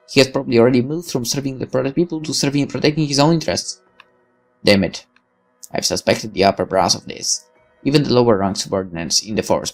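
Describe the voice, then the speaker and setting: Male gamer reading visual novel narration: theatrical, very lively pitch swings, gravelly fry, faint music behind. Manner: theatrical, animated, swearing. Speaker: gaming video host reading narration aloud. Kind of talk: dramatic expressive read-aloud of game narration with asides.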